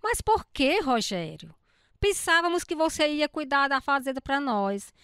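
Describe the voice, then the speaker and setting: An actress playing an aging, caring farm aunt who speaks in an emotional, pleading way in a radio drama. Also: female voice, high-pitched, big pitch swings, a little slow, a bit bright, dry recording, studio quality, heavily acted. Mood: hurt, pleading.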